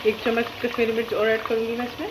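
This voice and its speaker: A woman with a fairly high voice talks through a home recipe in a casual, step-by-step way, addressing her viewers as friends. She is recorded by the stove, with clear frying and sizzling noise behind her. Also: completely flat delivery, rapid-fire. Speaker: home cook presenting a recipe. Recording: sizzling pan noise over the voice. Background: loud sizzling and frying food.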